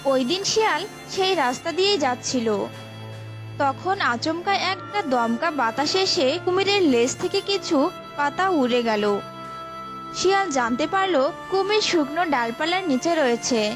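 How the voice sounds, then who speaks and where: A woman's voice: high voice, lively intonation, moderate pace. A woman narrating a scripted story for children as a storyteller. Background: prominent music.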